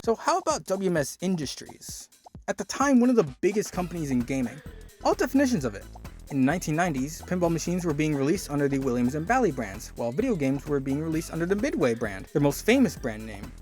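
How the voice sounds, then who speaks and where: A man's voice: a little high, very animated, fast, a little mellow, soft background music. A man hosting a video essay, narrating from a script with wry, conversational asides.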